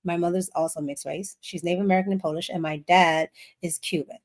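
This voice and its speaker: A woman's voice: fairly low pitch, steady tone, fast talker. A woman co-hosting a casual chat, answering with wry, dry humor.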